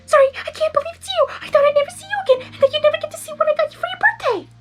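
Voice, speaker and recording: A woman doing squeaky hyper Pinkie Pie. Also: racing, music in the background. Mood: giddy. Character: bubbly hyper female party pony, young.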